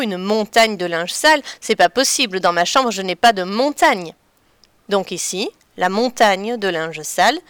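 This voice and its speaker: A woman teacher patiently explaining to children in a clear, deliberate way. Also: mid-range voice, fairly bright tone, dry recording. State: slightly stuffy from a cold. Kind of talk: children's lesson on figurative expressions.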